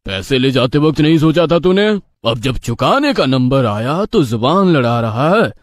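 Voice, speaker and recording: A man's voice: mid-range voice, sing-song, normal speed, no echo, clean studio sound, natural voice. Menacing, swaggering village moneylender villain played by a man. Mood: scornful. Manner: threatening, commanding, scornful. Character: cruel, bullying village moneylender boss, menacing. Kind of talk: Hindi cartoon villain acting.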